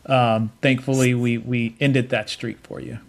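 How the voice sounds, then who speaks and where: A man's voice: mid-range voice, little pitch movement, unhurried, gravelly. A middle-aged man answering interview questions as a tech executive, telling his story in an informal, affable way with frequent restarts. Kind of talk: casual conversational storytelling.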